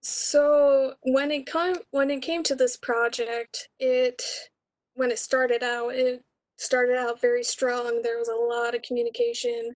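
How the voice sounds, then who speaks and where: A woman's voice: a little high, a little mellow. A young woman, a student director, answering questions informally about her own project. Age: young adult.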